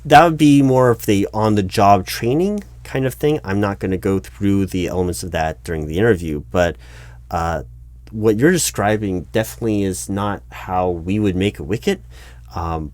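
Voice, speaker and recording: A man playing an earnest, slightly flustered recruiting manager in an interview roleplay, speaking in wordy, halting corporate jargon. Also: deep voice, a little mellow, natural voice. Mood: probing, hesitant. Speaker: roleplay performer. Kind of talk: one-sided interview roleplay, soft-edged office talk.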